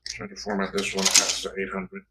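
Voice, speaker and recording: Low-voiced man in his fifties chatting. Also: monotone, fairly quick, very noisy. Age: 50s. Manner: rambling, self-correcting, talks while tinkering. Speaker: livestream host repairing vintage computers. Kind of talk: casual livestream chat while working.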